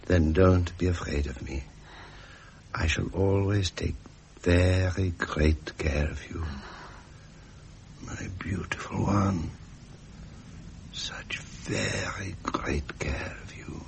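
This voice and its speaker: A British actor playing a tender, coaxing royal husband in a period radio drama, soothing and courtly, with an intimate delivery. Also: male voice, deep voice, takes it slowly, vocal fry, long pauses, exaggerated voice. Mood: soothing, affectionate. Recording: faint hiss, vintage radio recording.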